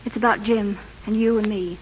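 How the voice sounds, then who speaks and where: An actress playing a politician's devoted, anxious young wife in old radio drama, earnest, affectionate and pleading. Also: female voice, medium pitch, fairly even intonation, moderate pace, natural voice. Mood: anxious, confiding. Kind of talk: 1930s radio acting. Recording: muffled old radio recording with hiss.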